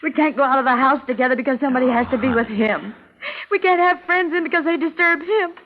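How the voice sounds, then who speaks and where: A woman's voice: high-pitched, fast, natural voice. A woman playing a nervous, worn-down, placating wife in a 1940s style radio drama, anxious and pleading. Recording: tinny, crackly old radio recording.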